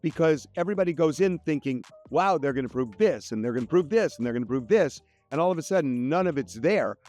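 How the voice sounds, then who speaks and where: A man's voice: fairly high pitch, animated, fast, a little mellow, soft background music. A man giving conversational legal commentary, explaining through examples and repetition.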